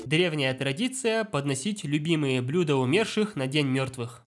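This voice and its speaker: A man with a slightly raised voice delivers a lively, explanatory voice-over review of a film. Soft music plays under his narration. He sounds eager and keen to point things out. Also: fairly high pitch, normal speed. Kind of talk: scripted voice-over commentary.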